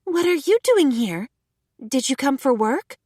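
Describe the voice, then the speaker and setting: A woman's voice: high voice, big pitch swings, a little slow, a little mellow, no echo, clean studio sound, pitched above the performer's own voice. A woman voicing a stern, commanding female elf guild master in an audiobook. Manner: commanding, clipped.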